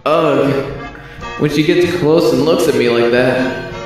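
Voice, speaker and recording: A man's voice: a little high, takes it slowly. A man voicing a flustered, lovestruck boy thinking to himself in a comic dub, with an echo effect. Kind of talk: comic dub inner monologue. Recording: very echoey, distant, music behind.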